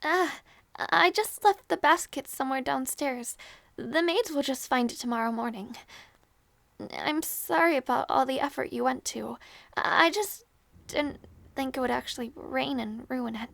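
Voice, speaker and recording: A woman playing a gentle, easily flustered young princess in an intimate audio roleplay, speaking hesitantly with small stammers. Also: high-pitched, creaky, dry recording, full character voice. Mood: apologetic. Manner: hesitant, stammering, soft-hearted.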